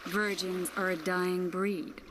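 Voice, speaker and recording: An actress playing a poised young sorceress in a video game, wry and dryly teasing. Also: female voice, fairly low pitch, little pitch movement, slow pace. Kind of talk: video game cutscene acting. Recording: game dialogue over clear water noise.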